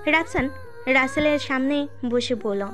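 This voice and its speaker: A woman's voice: sits high, unhurried, a bit bright. A woman reading a scripted dramatic audio story as its narrator. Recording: music under the voice. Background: music.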